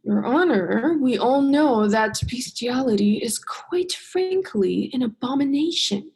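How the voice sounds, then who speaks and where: A woman's voice: high voice, lively intonation, slow pace, darkish tone, full character voice, rough recording. A young woman playing an earnest, exasperated human heroine in a radio play, with a direct, emotional delivery. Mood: earnest, formal. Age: young adult. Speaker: drama student actor.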